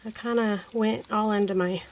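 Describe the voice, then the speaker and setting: A woman hosting a card-making tutorial, hoarse from a cold, talking in a chatty way with unsure asides. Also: mid-range voice, fairly even intonation, normal speed, slight background noise. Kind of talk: casual craft tutorial narration.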